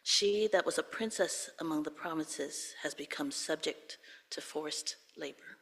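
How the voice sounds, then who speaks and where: A reader gives a solemn scripture lesson at a slow, measured pace. The voice has a gravelly edge. A long church reverb trails each phrase. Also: medium pitch, fairly bright tone. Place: church sanctuary.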